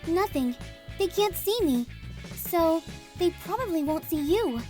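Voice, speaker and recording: A woman's voice: extremely high pitch, animated, unhurried, dark tone, faint music behind. A woman voicing a polite, dreamy, matter-of-fact alien crew member in a comic dub. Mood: calm, earnest.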